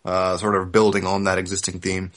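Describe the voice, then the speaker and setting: A man in his thirties with a fairly low-pitched voice hosts a casual podcast. He talks informally and explains things in a conversational way. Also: monotone, fairly quick, a bit bright. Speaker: podcast host.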